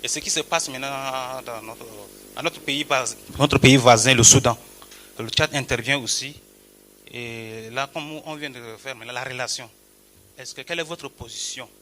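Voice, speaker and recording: A man asking a question at a press conference as a journalist, halting and hesitant. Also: mid-range voice, steady tone, bright, forceful tone, slight background noise. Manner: hesitant, halting, many fillers.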